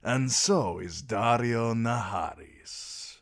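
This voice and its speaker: A man voicing a swaggering, flamboyant male sellsword captain, delivering lines with drawn-out theatrical flair. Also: mid-range voice, a bit bright, voice pushed up. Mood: boastful.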